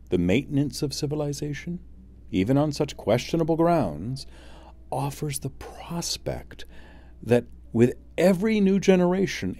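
Deep, dark-voiced male audiobook reader. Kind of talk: measured scripted narration of a classic essay.

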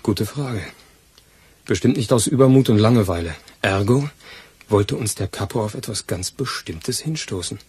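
An actor playing an eager, loyal police assistant in a crime radio play, arguing colloquially. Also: male voice, fairly low pitch, expressive, moderate pace, darkish tone, slightly rough. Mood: eager, deducing. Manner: colloquial, argumentative.

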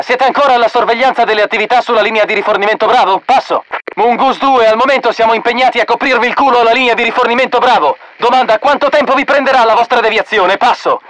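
A man playing a brisk, blunt mercenary field soldier in a video game, speaking military radio chatter through a filter. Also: extremely high pitch, a bit bright, low-quality recording. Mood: irritated, brusque. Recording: radio transmission with faint noise, clicks.

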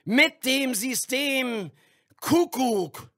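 A man's voice: very high-pitched, animated, drawn-out words, bright, forceful tone, no room sound, clean studio sound, heavily acted. An actor playing a grandiose, megalomaniac leader declaiming his new world order in a radio play. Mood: fervent.

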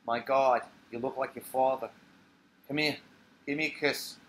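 A man's voice: mid-range voice, fairly even intonation, moderate pace, fairly bright tone, lightly acted. Stage play: boozy elderly nursemaid, read by a man, sentimental and salty, rambling fondly, some noise behind. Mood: doting, maudlin. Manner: rambling, coarse, doting. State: acting drunk. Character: drunken old woman, sentimental, coarse former nursemaid.

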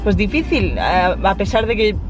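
A woman's voice: medium pitch, lively intonation, normal speed, over music, crowd noise. A young woman in her twenties with a Spanish accent from Spain, chatting bluntly and with animation in a vlog filmed inside a car, using slangy asides. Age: 20s. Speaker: YouTuber. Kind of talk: casual vlog chat. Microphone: camera mounted on the dashboard. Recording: dull audio with loud car noise.

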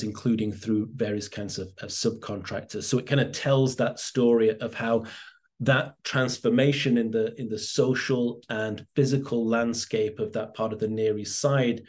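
A man lecturing over a video call from a home office. He speaks in an even, flat tone, stopping often for ums and restarts as he works through his points. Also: mid-range voice. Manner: hesitant, many fillers and restarts. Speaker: presenter. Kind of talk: academic webinar talk.

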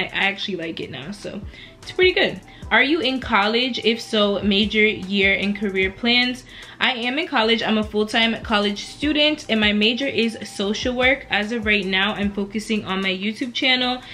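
Relaxed chatty young woman vlogging. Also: mid-range voice. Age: 20s. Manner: chatty, relaxed, laughs often between answers. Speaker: beauty and lifestyle YouTuber. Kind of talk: casual Q&A vlog. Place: lying back on a bed at home, indoors.

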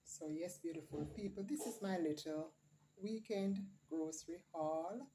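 Low, slow-talking Jamaican woman. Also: mellow, a little hiss or hum. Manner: listing items one by one, unhurried. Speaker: vlogger. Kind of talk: casual grocery haul narration.

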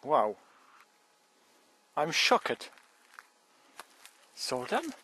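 A man's voice: a little high, wildly swooping pitch, heavy background noise. A young man with a Polish accent, a cyclist speaking broken English while joking in a vlog, outdoors on a country path and filmed with an action camera. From Poland. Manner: playful, broken English, slow and exclaiming. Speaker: cyclist vlogger. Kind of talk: joking phone-call vlog. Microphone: action camera on helmet or bike.

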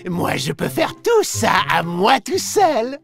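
Here is a boastful little cartoon virus, teasing children with theatrical glee. The delivery is unhurried and swings widely up and down, with a creaky edge. Soft music plays behind. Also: female voice, fairly high pitch, big pitch swings, full character voice. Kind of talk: animated educational narration for children.

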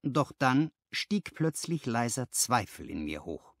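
A man reads a first-person story for an audiobook. His storytelling is expressive, with very varied intonation. The recording is dry and close. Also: mid-range voice, slow pace, no room sound. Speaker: audiobook narrator.

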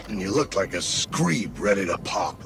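An actor voicing a cynical, smug, self-serving ghost companion in a video game, with a gravelly, sardonic, slangy delivery. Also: male voice, deep voice, big pitch swings, normal speed, noisy background, exaggerated voice. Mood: mocking. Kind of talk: video game character dialogue. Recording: loud music behind the voice. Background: game music.

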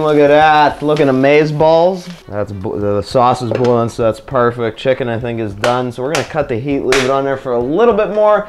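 A man's voice: medium pitch, darkish tone. A young man chatting casually to his viewers while cooking in his home kitchen, loose and slangy, on a camera mic by the stove. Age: young adult. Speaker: vlogger.

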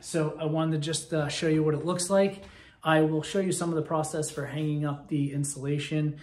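A man's voice: a little high, steady tone, moderate pace, darkish tone. A man in his thirties or forties casually and methodically explaining a DIY project to camera inside a large timber barn. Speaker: DIY woodworker presenting a project video. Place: large empty timber barn loft, indoors. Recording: roomy echo, faint background noise and clicks.

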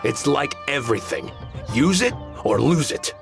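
An actor playing a haughty, old-fashioned samurai in a video game, lecturing in a pompous, disapproving manner. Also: male voice, medium pitch, very animated, moderate pace, gravelly, over music. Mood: scornful. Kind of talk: scripted video game dialogue.

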